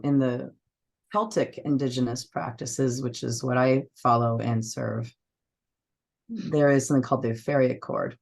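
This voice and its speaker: A hoarse participant on a group call, losing their voice after a cough, speaking earnestly and clearing their throat often. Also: medium pitch, a little mellow.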